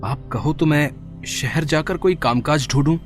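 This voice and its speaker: A man's voice: fairly high pitch, a little slow, faint music behind, close to an everyday voice. A man voicing a poor, devoted village son in a moral story cartoon, earnest and pleading. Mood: worried.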